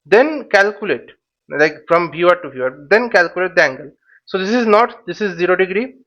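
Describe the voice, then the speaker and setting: A man giving an informal tutorial in a halting, step-by-step way, repeating phrases and checking with short questions. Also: sits high, animated, bright, forceful tone, highs cut off. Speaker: flight simulation instructor and presenter.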